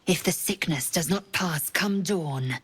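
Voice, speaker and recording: A woman's voice: fairly low pitch, unhurried, slightly rough. An actress playing a fierce, distrustful female alien warrior who speaks in harsh, clipped, threatening lines in a video game.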